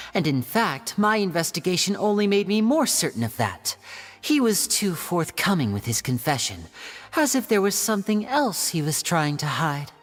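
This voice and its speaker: A poised, teasing stage magician. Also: female voice, fairly low pitch. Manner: measured, teasing, theatrical. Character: young female stage magician, poised and knowing. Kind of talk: video game voice acting. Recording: game audio with reverb and music.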